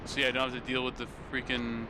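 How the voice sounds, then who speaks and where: A man's voice: medium pitch, completely flat delivery, fast talker, background noise. A young man giving loose, rambling commentary while he plays, swearing casually, on a desk mic at home. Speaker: streamer. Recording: game music loud behind voice.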